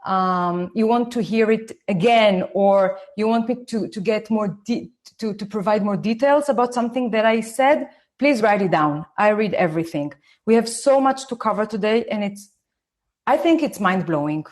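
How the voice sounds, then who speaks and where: A woman in her forties from Israel with an Israeli accent, speaking slowly and carefully as she gives a live online talk to parents. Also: medium pitch, animated. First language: Hebrew. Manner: slow, deliberate, and careful for her listeners. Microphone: phone.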